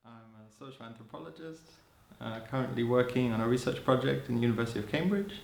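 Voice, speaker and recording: A man in his thirties who grew up in Hong Kong, speaking as an interviewee in a thoughtful, musing way, often adding "you know" and soft laughs. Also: medium pitch, fast talker, vocal fry, slight background noise. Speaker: interviewee, social anthropologist. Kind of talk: reflective personal account. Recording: roomy echo.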